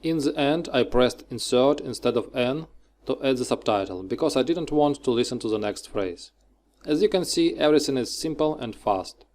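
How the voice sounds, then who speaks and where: A man with a mid-pitched voice narrates a software tutorial. He works from a script and moves step by step through short, clipped instructions. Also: animated, normal speed.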